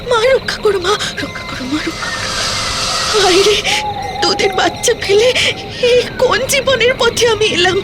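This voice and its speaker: A woman playing a poor young widowed mother in a cartoon, fearful but determined, speaking in a pleading, tearful manner. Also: extremely high pitch. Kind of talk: dramatic cartoon voice acting.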